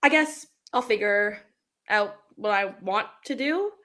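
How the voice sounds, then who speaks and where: A woman's voice: medium pitch, a little slow, sharp tone. A woman acting a wondering, thoughtful young female character in a dramatic script reading.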